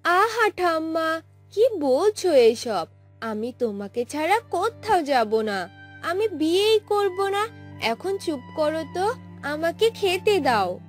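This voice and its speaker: A woman voicing a carefree, pouty young village girl in a cartoon, with drawn-out girlish delivery. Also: extremely high pitch, sing-song, soft background music, full character voice. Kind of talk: cartoon voice acting.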